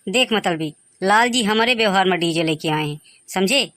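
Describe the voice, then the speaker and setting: A man voices a brash young villager in a comedy cartoon, high and boyish with a Bagheli accent. He is colloquial, cajoling and quick to argue. The sound is close and dry. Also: lively intonation, sharp tone, no room sound. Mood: warning. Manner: colloquial, pushy, argumentative. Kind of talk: dialect comedy cartoon voice acting.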